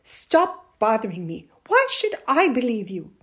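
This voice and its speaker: A woman's voice: fairly high pitch, lively intonation, moderate pace, a little mellow, voice pushed up, natural voice. A woman voicing a weary, scolding, impatient widowed mother in a story, stern and emphatic. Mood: exasperated, reproving.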